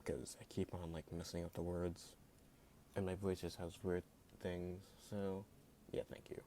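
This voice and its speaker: Man, deep voice, sarcastic rant, jittery at times, filler-heavy talk. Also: flat delivery, unhurried, mellow, slight background noise. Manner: sarcastic and blunt, heavy use of "like". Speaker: YouTube creator. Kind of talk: opinion rant to camera.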